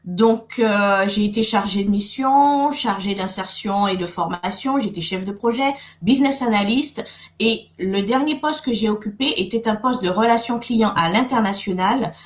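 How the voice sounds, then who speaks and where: A woman's voice: mid-range voice, moderate pace, reverberant. A middle-aged woman from Guadeloupe hosting a live webinar, speaking in a friendly way with many fillers and restarts. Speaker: webinar host and coach.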